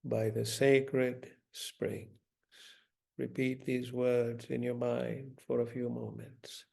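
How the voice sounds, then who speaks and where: A man leading a guided meditation in a gentle, deliberate manner, giving instructions with long pauses. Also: medium pitch, flat delivery, vocal fry.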